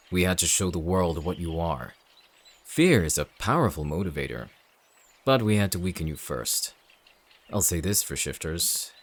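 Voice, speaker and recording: An actor playing a cold, calculating villain in an audio drama, speaking in a measured, smug, menacing way. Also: male voice, sits low. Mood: coldly calculating. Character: cold, calculating male villain leading an anti-shifter operation. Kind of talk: dramatic audio roleplay acting.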